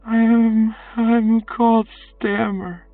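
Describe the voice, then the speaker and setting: A man voicing a frightened young beggar woman, timid and pleading, who talks in a halting stammer. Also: very high-pitched, level tone, dry recording, far from the mic, phone-quality sound, faint music behind, voice pushed up, heavily acted. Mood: confused, nervous.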